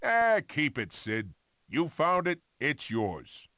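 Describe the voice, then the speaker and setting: An actor playing a cocky, playful prehistoric animal in an animated video game. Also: male voice, a little high, slow, background noise, exaggerated voice. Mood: friendly.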